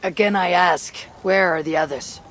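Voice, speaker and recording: A woman playing a bold Norse warrior and raider in a video game, speaking in a measured, blunt, grave way. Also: fairly low pitch, fairly bright tone, slight background noise, close to an everyday voice. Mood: determined. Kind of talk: video game cutscene acting.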